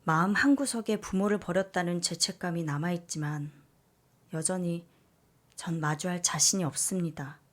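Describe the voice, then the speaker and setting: A woman narrating a first-person story aloud, in a calm, even manner. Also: on the low side, normal speed. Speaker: story reader.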